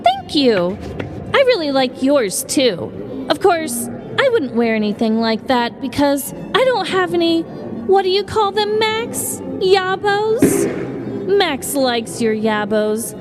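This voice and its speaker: Lady doing a little kid voice, super high and slow, teasing halloween movie girl, loud music behind. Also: big pitch swings, exaggerated voice. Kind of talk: movie scene reenactment.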